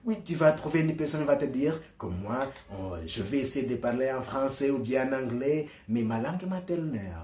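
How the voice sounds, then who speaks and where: A man giving a slow, deliberate reflective monologue, earnest and persuasive, pausing to search for words. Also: medium pitch, dark tone, roomy sound. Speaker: commentator on religion and culture.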